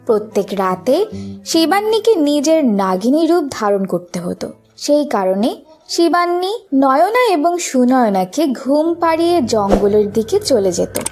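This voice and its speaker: High-pitched, animated woman storyteller. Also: a little slow, soft background music. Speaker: narrator. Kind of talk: scripted children's fairy-tale narration.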